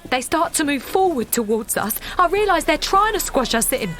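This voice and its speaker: A woman's voice: sits high, very animated, normal speed, a bit bright. An actress playing an anxious young working-class mother, narrating and speaking colloquially in an audio drama. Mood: alarmed. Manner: emotive, colloquial. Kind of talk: audio drama acting and first-person narration. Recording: outdoor crowd noise behind.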